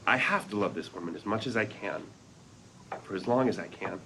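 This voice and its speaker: An actor playing a wistful father who narrates his love story to his children in an earnest, measured way, at a script read-through. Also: on the low side, lightly acted. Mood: tender, earnest. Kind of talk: reflective first-person scripted narration. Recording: noisy live room recording.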